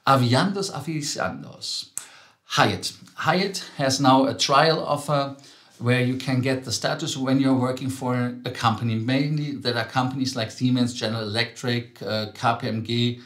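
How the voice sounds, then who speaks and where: A man in his thirties speaks English as a second language while hosting a travel news segment. He talks casually, correcting himself and drifting into little asides. His voice sits at an ordinary middle pitch. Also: a bit bright. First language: not English. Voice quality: non-native English, self-correcting and chatty asides. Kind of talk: casual news commentary to camera. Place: studio with grey wall, indoors. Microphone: fixed camera, seated.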